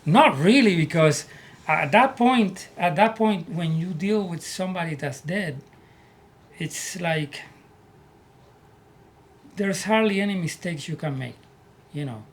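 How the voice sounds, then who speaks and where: A man from Puerto Rico with a Puerto Rican Spanish accent, telling his life story as an interview guest in earnest, halting English. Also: sits high, lively intonation, a little hiss or hum. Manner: earnest, repeats words as he searches for English. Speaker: guest, army veteran.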